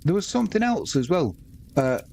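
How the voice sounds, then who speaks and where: A man's voice: a little high, sing-song, fairly quick, vocal fry, a little mellow, a little hiss or hum. A man with a Manx accent hosting a live sports stream, chatting casually and reading out viewer comments between bits of match commentary.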